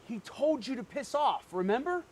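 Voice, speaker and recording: Casting: male actor for a flippant, needling twin brother in an audio drama, unfazed by trouble, high-voiced and animated. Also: normal speed, slight background noise. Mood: insistent, needling. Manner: teasing, flippant. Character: cocky, joking twin brother, unfazed by jail. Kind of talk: amateur dramatic script reading.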